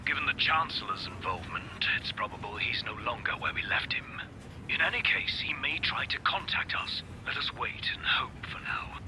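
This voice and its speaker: Hushed, composed royal adviser. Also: male voice, mid-range voice, expressive, in a whisper, rough recording. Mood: calm, grave. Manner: measured, formal, precise. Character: calm, formal, pragmatic young male royal adviser. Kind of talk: English video game voice acting.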